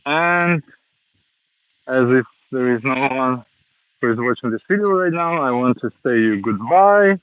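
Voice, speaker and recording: Man vlogging on a walk: slow, halting learner English, dull dry phone audio. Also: medium pitch, expressive, no room sound. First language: Russian. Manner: slow, hesitant learner English with many pauses. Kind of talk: casual walking vlog monologue. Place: outdoors, walking around a city.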